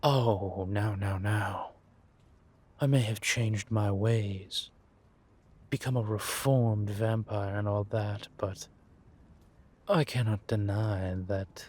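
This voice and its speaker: A man playing a sly, scheming vampire spy in an audio roleplay, husky and theatrical, savoring his words in drawn-out musings. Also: fairly low pitch, steady tone, a little mellow, heavily acted. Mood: savoring, playful. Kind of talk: scripted audio roleplay monologue addressed to a companion.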